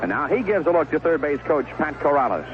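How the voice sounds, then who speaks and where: A male baseball play-by-play announcer with a fairly high, very lively voice. He calls the action in clipped phrases and fills in the scene conversationally. The sound is a muffled 1970s radio broadcast. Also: fast, noisy background. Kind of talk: live baseball play-by-play commentary. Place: stadium broadcast booth. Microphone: broadcast microphone with headset earphone monitoring.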